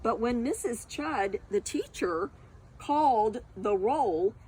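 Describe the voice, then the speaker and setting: Slow, very animated woman reading to children. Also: a little high, slight background noise, low-quality recording. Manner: expressive, deliberate, addressing young children directly. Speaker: storytime reader, teacher of young children. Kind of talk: children's picture-book read-aloud with questions to listeners.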